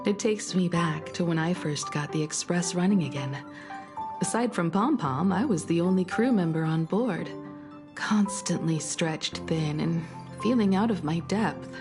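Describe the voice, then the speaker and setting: Game role: actress for composed woman navigator and mentor, lowish pitch, measured and tender delivery, music behind. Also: lightly acted. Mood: nostalgic. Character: composed, caring woman starship navigator, proud and nostalgic mentor. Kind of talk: video game story dialogue.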